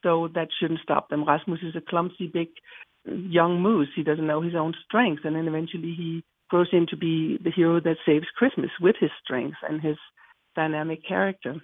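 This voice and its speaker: A woman's voice: sits low, fairly even intonation, normal speed, slightly rough, no echo. Middle-aged Danish-accented woman, cheerful rambling guest, call-in phone quality. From Denmark. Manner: chatty, cheerful, rambling with restarts. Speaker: guest, children's book author. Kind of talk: interview. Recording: tinny phone line.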